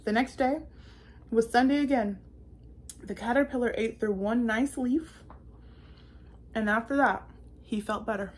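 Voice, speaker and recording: A woman's voice: a little high, a little slow, pauses often, slight background noise. A woman in her twenties reading a children's picture book aloud in a playful, theatrical manner. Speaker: children's story-time reader. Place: indoor room with bookshelves.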